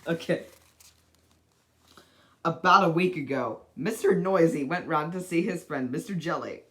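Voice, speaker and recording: A person reading a children's storybook aloud in a deliberate, dramatic way, as an amateur narrator. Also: mid-range voice, a bit bright, slight background noise.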